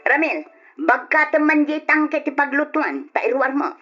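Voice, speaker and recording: An actress with an Ilocano accent playing a bossy, stingy aunt with a sharp, commanding manner in a radio drama. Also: female voice, sits high, expressive, unhurried, faint music behind. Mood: bossy, scolding. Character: bossy, stingy aunt scolding her young wards. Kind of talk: Ilocano old-time radio drama acting. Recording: dull lo-fi old radio drama recording.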